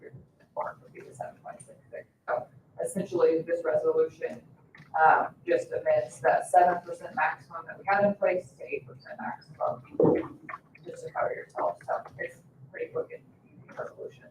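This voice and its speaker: A man's voice: on the high side, fairly even intonation, normal speed, a little mellow. A man giving an informal explanatory presentation to a board, recorded from a distance in an echoey meeting room. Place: indoor public meeting room. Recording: echoey room, distant mic, faint noise.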